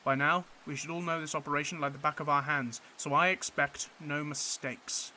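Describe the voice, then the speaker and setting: A stern, commanding army officer, played by a man for radio drama. The voice is bright, tense and emphatic, built for orders. There is faint background noise. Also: on the high side, low-quality recording. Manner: authoritative, emphatic.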